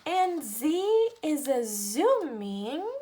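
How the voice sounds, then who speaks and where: Female children's storyteller, higher voice, animated intonation, deliberate phrasing with pauses for an alphabet picture book. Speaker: read-aloud storyteller for children. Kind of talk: picture book read-aloud, teaching the alphabet.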